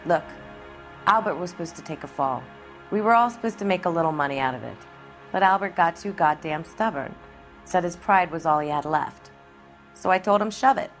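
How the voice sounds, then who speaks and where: A woman's voice: on the low side, expressive, a little fast. A woman playing a brassy, hard-bitten young American dame in a 1940s crime game, blunt, slangy and evasive. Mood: defiant, evasive. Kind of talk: video game acting, period crime drama. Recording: music behind the voice.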